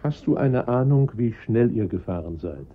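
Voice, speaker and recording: An actor playing a calm, methodical police inspector in a radio crime drama. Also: male voice, medium pitch, moderate pace, natural voice. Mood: patient, probing. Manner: sober, methodical questioning. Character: calm, measured police inspector, adult man. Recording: muffled, noisy radio recording.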